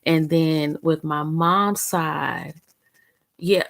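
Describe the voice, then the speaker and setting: A woman's voice: deep voice, fairly even intonation, extremely slow, vocal fry. A young woman vlogger chatting casually to the camera in a rambling, conversational way. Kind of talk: casual chat.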